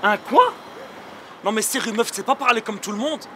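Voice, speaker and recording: A man's voice: high voice, expressive, moderate pace, bright, forceful tone, a little hiss or hum. A young man with a Belgian French accent playing a brash, slangy guy who mocks and gets defensive. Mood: mocking. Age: young adult.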